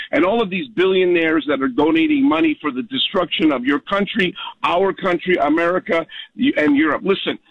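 A man's voice: high voice, vocal fry, no room sound, narrow, tinny audio. A man calling in to a talk radio show, rambling heatedly with many restarts and corrections. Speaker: caller.

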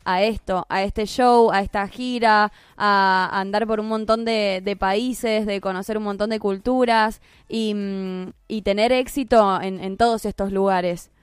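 A woman's voice: medium pitch, fairly even intonation, a little slow, hardly any pauses, smooth, no echo, studio quality. A woman hosting a casual radio interview, chatty, with fillers and restarts as she frames her questions.

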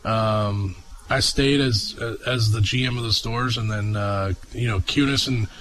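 Halting young man, bright voice. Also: lowish voice, poor audio. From Oklahoma. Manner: halting, many filler sounds. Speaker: guest, auto dealership executive. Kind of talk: radio interview.

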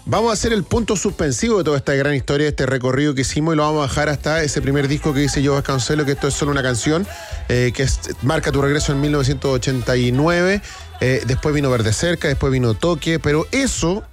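A man's voice: on the high side, quick pace. A man hosting an interview, asking long, winding questions, with faint music behind. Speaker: interviewer host.

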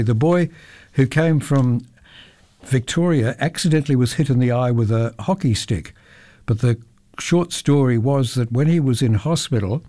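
Australian male radio host, warm mellow tone, dry booth sound, easygoing storyteller between records. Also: mid-range voice, no echo. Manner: chatty, anecdotal, occasional self-corrections. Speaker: radio presenter. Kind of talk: relaxed radio chat between songs. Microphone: close studio microphone.

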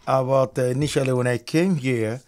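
A man from Nigeria guesting on a radio show, upbeat and chatty as he talks about his music. His pitch sits in the middle for a man, and his phrases often drop away quietly at the end. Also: brisk. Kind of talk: radio interview.

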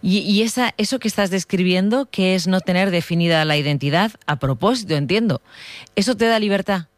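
Radio host, conversational interviewer, mid-pitched, dry close recording, questions formed with some repeated words. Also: brisk, dry recording.

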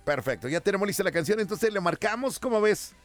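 A man's voice: high voice, expressive, quick pace, faint music behind. A Mexican man hosting a radio call-in show, teasing and affectionate with his guests. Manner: playful, teasing and affectionate with callers. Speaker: radio host. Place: radio studio.

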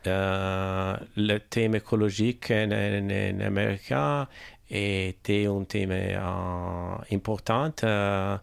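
An Italian man speaking with an Italian accent as a radio guest, halting and searching for words, in a radio studio. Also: lowish voice, level tone, gravelly, clear, resonant tone, dry recording. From Italy. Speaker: radio guest, business founder. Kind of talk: radio interview answers.

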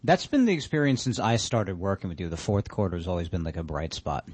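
A man's voice: low-pitched, lively intonation, quick pace, darkish tone, breathy edge, no room sound. A man co-hosting a financial radio show, chatting casually and laughing along. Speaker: radio co-host. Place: radio studio.

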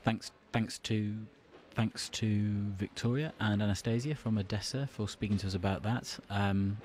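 A man presenting a live radio news programme, putting measured, probing questions and linking between items. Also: low voice, darkish tone, a little hiss or hum. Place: radio newsroom studio in London.

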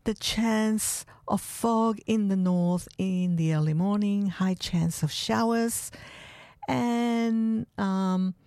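Slow, dark-voiced woman radio host. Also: mid-range voice, dry recording, studio quality. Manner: relaxed, unhurried delivery with occasional fillers. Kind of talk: radio show presenting. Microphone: studio microphone.

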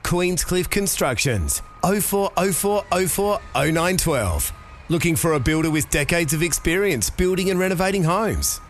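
Aussie guy doing a quick punchy radio ad, voice bounces around, faint traffic hum. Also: high voice, animated. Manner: brisk, punchy sales read. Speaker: ad voice-over. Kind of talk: scripted radio ad read.

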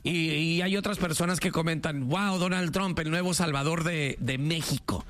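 A male radio host with a fairly high-pitched voice. He runs a lively call-in debate, pushing provocative questions in quick succession.

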